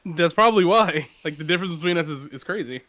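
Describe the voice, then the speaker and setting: Loud, joking guy on voice chat. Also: on the high side, animated, quick pace, no room sound. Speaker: co-streamer. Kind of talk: casual game stream chat.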